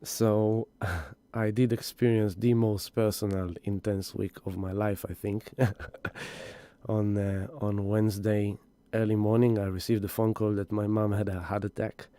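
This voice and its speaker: Husky, tired man with a low, mellow voice. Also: little pitch movement, unhurried, no echo. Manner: gentle, rambling, greets viewers by name. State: exhausted and grieving. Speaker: spiritual astrology host. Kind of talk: live stream energy update.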